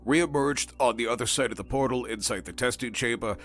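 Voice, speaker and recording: A man's voice: mid-range voice, brisk, fairly bright tone, soft background music. A man narrating in the scripted, formal style of a clinical scientific report.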